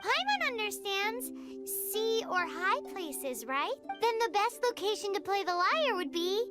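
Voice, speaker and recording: A woman voicing a tiny, excitable floating companion girl in a video game, with a squeaky childlike voice and exclamatory manner. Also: measured pace, exaggerated voice. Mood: cheerful, eager. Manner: speaks of herself in third person, exclamatory. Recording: loud background music.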